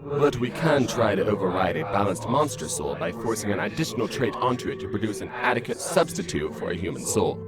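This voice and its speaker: A man playing a grave, resolute monster scientist in a comic dub, speaking in formal, measured, clinical phrases. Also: fairly low pitch, sing-song. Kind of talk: dramatic comic dub acting. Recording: prominent music behind voice.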